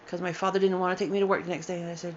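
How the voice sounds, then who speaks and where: A woman in her forties telling a painful personal story, halting and emotional, with sighs and restarts, tired and upset. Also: on the low side, little pitch movement, muffled recording, slight background noise. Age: 40s. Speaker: storyteller sharing a personal account. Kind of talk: unscripted personal testimony.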